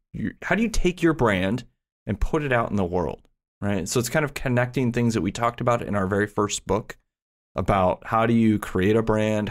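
A man co-hosting a podcast in a casual, conversational style. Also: fairly low pitch. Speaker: podcast co-host.

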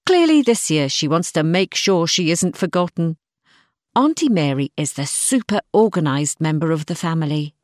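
A woman reading a scripted, diary-style short story in an expressive manner. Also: low-pitched, moderate pace, dry recording, clean studio sound. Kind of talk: scripted first-person story narration, diary-like.

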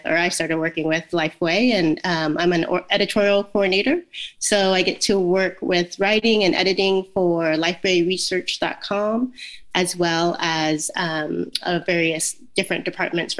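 Soft-spoken woman, hesitant and thoughtful. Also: on the low side, low-quality recording. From Vietnam. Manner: thoughtful, many ums and uhs. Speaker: guest. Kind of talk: interview over video call. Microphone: video call.

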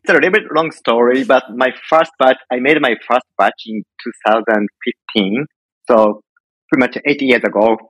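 A middle-aged man with a Japanese accent speaks at a medium pitch as an interview guest. He tells stories casually in halting, informal English, recorded dry with little room sound. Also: a bit bright, dry recording, low-quality recording. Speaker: podcast guest, home brewer.

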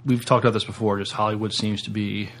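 A man co-hosting a podcast, speaking casually in a halting, tentative way. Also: lowish voice, little pitch movement, fairly bright tone. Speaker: podcast co-host.

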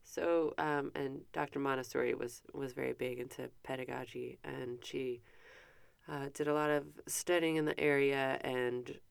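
A woman's voice: extremely low pitch, fairly even intonation, normal speed, gravelly, smooth, dry recording. A woman giving an informal history lesson, chatty and self-correcting, with frequent fillers and asides. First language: not French. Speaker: presenter explaining an educator's life. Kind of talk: casual informal lecture.

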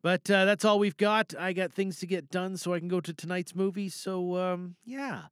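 A man's voice: high-pitched, steady tone, normal speed, a bit bright, smooth, no room sound, clean studio sound. A man hosting a podcast, wrapping up in a casual, offhand, rambling way. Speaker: podcast host and performer.

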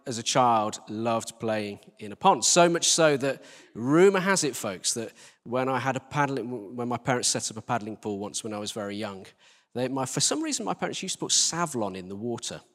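A man's voice: mid-range voice, animated, moderate pace. British man preaching in a reverberant hall. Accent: British English. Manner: conversational, self-deprecating, gently humorous. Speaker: church team leader preaching. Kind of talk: informal sermon with Bible reading. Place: church hall, live service. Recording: live talk in reverberant hall.

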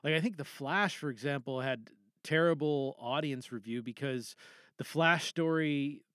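A man's voice: fairly high pitch, normal speed, fairly bright tone, clean tone, dry recording, clean studio sound. A man chatting casually as a podcast host, rambling informally and often trailing off or rephrasing mid-sentence. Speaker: podcast host giving feedback to fellow podcasters. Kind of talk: casual, rambling solo chat with opinions on films.

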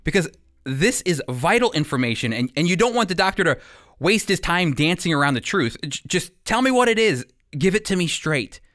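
A man's voice: on the high side, very animated, a little fast, fairly bright tone, no echo, clean studio sound. A man preaching as a radio Bible teacher in a conversational sermon style, earnest and emphatic.

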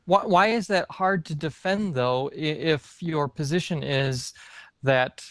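A man with a slightly high voice speaks slowly and haltingly in a casual debate. His questions come out hesitantly, with very little room sound around the voice. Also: fairly bright tone, no echo, studio quality. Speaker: discussion participant.

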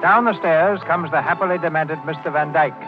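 A man's voice: a little high, brisk. A man narrating from a script as announcer of a 1930s radio drama. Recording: muffled 1930s radio broadcast. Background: loud music.

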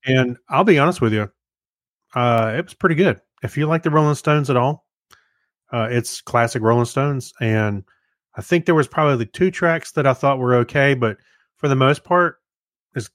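A man's voice: mid-range voice, normal speed, fairly bright tone, no room sound, studio quality. A man co-hosting a podcast, giving informal opinions in a rambling, hesitant, conversational way. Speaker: podcast co-host. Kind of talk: casual chat, informal reviews.

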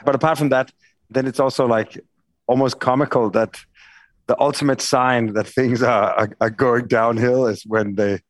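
A man's voice: medium pitch, steady tone, moderate pace, no room sound. A middle-aged man with a Danish accent, a cofounder speaking in a panel conversation, rambling with frequent restarts. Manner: rambling, hesitant, many restarts. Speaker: architect and company cofounder.

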